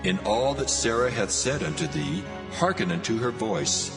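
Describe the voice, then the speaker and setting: A man voicing God, speaking in a measured, solemn way. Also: mid-range voice, very animated, vocal fry, long reverb tail, over music.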